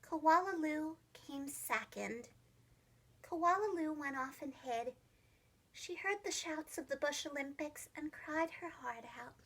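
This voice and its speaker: A woman reading a children's storybook aloud like a preschool teacher, expressive and dramatic, speaking carefully for young listeners. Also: high-pitched, slow pace. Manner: animated, dramatic, gentle enunciation for young children.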